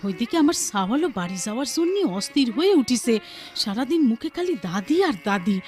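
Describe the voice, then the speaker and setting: A woman's voice: a little high, sing-song, fairly quick, a little mellow, soft background music. An actress playing a practical, fretful village wife and mother with a rural Bangladeshi accent in a radio drama. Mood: chiding. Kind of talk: Bengali radio drama acting.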